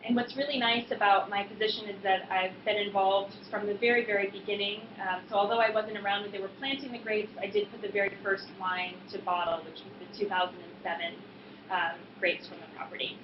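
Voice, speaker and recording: An American woman in her thirties giving an informal, explanatory talk as a winemaker at a tasting, pausing often in a hesitant way. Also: medium pitch, steady tone. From Boston suburbs, US East Coast. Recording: echoey room, muffled, noisy background.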